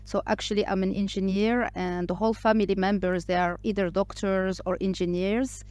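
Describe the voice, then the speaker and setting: A woman's voice: mid-range voice, little pitch movement, a little fast, a little mellow, no echo. Hesitant woman from Morocco, interview guest. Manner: speaks English with frequent fillers, restarts and hesitations. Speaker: podcast guest, entrepreneur. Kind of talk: casual interview talk.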